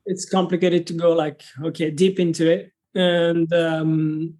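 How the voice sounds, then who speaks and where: French-accented young male guest, higher-pitched voice, searches for words as he talks. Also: level tone, darkish tone. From France. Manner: halting, restarts sentences often. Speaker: guest, travel content creator. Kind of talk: casual interview conversation.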